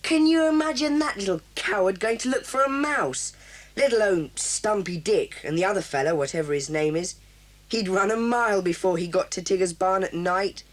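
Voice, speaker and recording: Cast: a woman doing a cocky schoolboy chief, mid-pitched, audio drama. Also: moderate pace, natural voice. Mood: scornful. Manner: dismissive, sure of himself. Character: bossy, scornful boy leader.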